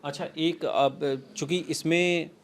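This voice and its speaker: A man's voice: fairly high pitch, steady tone, slow pace, slight background noise. A man hosting a news interview, putting probing questions conversationally and often repeating his phrases. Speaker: news host interviewing a reporter.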